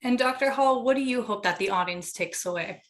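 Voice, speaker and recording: A woman's voice: a little high, fairly quick, fairly bright tone. Woman interviewer, mid-range voice; courteous, prepared questions to guests.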